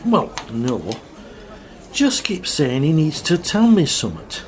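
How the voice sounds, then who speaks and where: A man's voice: on the high side, huge pitch swings, slow, a little hiss or hum, exaggerated voice. A man acting a stressed, irritable local radio presenter in an audio drama, defensive and hesitant. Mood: casual, uneasy.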